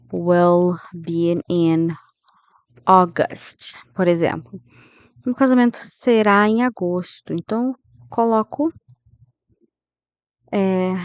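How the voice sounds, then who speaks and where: Brazilian teacher lady, 30s, slow and patient, dry close mic, keeps checking in with the class. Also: medium pitch, darkish tone, clean tone, dry recording. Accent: Brazilian Portuguese. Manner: didactic, checks in with listeners often; slows down to model English phrases. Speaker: English teacher. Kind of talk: grammar lesson.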